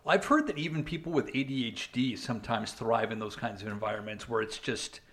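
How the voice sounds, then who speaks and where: A man's voice: medium pitch, brisk, a bit bright. A young man co-hosting a podcast, talking casually and rambling with frequent restarts, filler words and "man". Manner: chatty, frequent restarts and "man", "you know". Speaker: podcast co-host. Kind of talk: casual chat.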